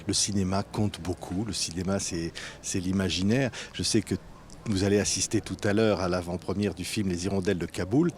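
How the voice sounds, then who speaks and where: Low-voiced courteous male interviewer. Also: fairly even intonation, a little fast, darkish tone, slight background noise. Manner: courteous, affirming, leading questions. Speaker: television interviewer. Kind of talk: formal televised interview.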